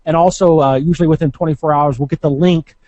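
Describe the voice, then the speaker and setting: A man giving informal instructional talk as a marketing coach, conversational and halting, often hesitating and correcting himself. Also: on the high side, fairly even intonation, warm tone, no echo, highs cut off.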